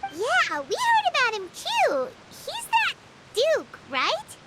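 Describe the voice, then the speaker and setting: Game fairy sidekick: squeaky, high, very bouncy delivery, music behind. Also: female voice, huge pitch swings, slow, heavy background noise, exaggerated voice. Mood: eager. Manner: talks about herself in the third person. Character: tiny floating female fairy companion, chatty and excitable. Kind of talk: video game voice acting.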